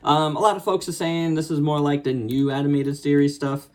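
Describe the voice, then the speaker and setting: A man hosting a casual, unscripted toy review, thinking aloud in short, halting phrases. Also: a little high, flat delivery. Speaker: toy reviewer and channel host. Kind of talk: casual, unscripted hands-on product review commentary.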